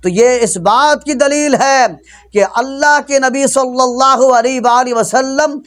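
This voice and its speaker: A man's voice: very high-pitched, steady tone, takes it slowly, a bit bright. A man preaching an Islamic sermon as a rebuttal, emphatic and admonishing. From Pakistan. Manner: emphatic, admonishing, rhetorical questioning. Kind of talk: religious sermon, argumentative rebuttal.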